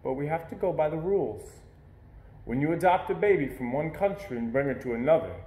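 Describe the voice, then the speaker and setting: A man voicing a gentle, patient mother in a children's story. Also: a little high, animated, a little fast, lightly acted. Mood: patient, consoling. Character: gentle, patient mother explaining things to her son. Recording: echoey room.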